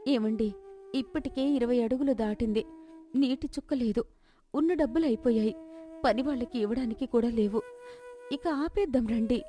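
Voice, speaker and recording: A woman's voice: a little high, moderate pace, pauses often, mellow, soft background music, natural voice. A woman playing a worried, sensible farmer's wife in an acted folk tale, pleading and cautioning. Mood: anxious, pleading. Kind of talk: dramatized folk moral story acting.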